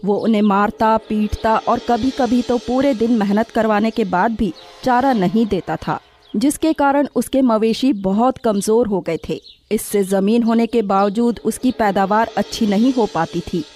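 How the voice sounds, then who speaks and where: A woman's voice: on the high side, measured pace, darkish tone, soft background music. A woman narrator reading a scripted moral story for children.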